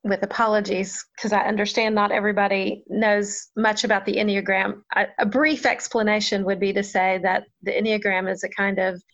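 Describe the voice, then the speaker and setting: A woman's voice: medium pitch, little pitch movement, a little fast, hardly any pauses, a bit bright, lo-fi sound. A woman pastor giving a thoughtful, reflective interview answer, often laughing at herself.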